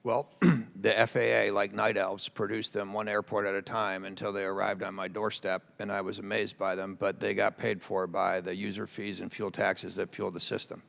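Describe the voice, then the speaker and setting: A man testifies in a lowish voice thick with creak. His answers are dry and wry, with very little movement in pitch. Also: little pitch movement, normal speed, few pauses, a bit bright. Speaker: witness at a hearing.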